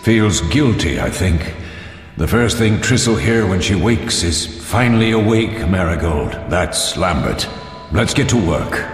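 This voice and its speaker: An actor playing a grave, fatherly older mentor of monster hunters in a video game, speaking in a measured, solemn way. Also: sits low, animated. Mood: steady, caring. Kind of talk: dramatic video game dialogue. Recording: long hall reverb with music behind.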